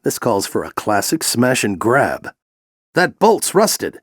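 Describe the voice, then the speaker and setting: A man voicing an aged, furry alien general in a video game, a gravelly, grand and encouraging mentor. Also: medium pitch, wildly swooping pitch, slow, no room sound, studio quality, heavily acted. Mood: focused, resolute. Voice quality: gravelly, theatrical. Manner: grand, exhorting, coaching. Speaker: mentor and military veteran. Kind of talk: video game character acting.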